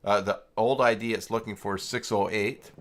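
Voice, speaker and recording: A man hosting a casual, unscripted livestream tutorial, talking haltingly as he thinks aloud through each step. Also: fairly low pitch, fairly even intonation, fairly bright tone. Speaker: livestream host and web tutorial presenter.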